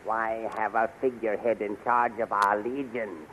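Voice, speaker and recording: An actor playing a scheming older majordomo, formal and obsequious, in a 1930s radio serial. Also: male voice, lowish voice, flat delivery, moderate pace, a bit bright, close to an everyday voice. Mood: insinuating. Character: scheming, flattering older male courtier and majordomo. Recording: noisy 1930s radio recording.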